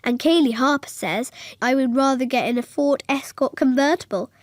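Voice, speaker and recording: A woman plays a small schoolgirl with a high voice, narrating a radio drama. She is earnest and innocent, telling things in simple, literal childlike phrases, full of imagination. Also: normal speed, dry recording, studio quality, natural voice. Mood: earnest, dreamy.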